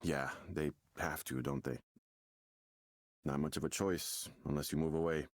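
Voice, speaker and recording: A man's voice: extremely low pitch, steady tone, a little slow, no room sound, studio quality. An actor playing a nervous, defensive expectant father in a visual novel, hesitant and stammering as he talks. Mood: uneasy, hesitant. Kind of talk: visual novel game dialogue. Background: music.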